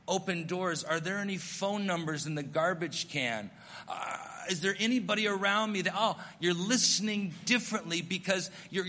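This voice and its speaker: A middle-aged American missionary pastor preaching a sermon, telling stories in an earnest, self-deprecating way. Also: male voice, fairly high pitch, pushed and bright, big-room sound. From United States.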